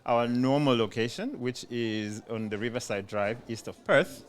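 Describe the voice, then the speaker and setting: A man's voice: medium pitch, normal speed. An easygoing male podcast co-host chatting on location in a community centre. He talks loosely, with frequent ums and repeated words as he gathers his thoughts. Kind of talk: casual podcast chat.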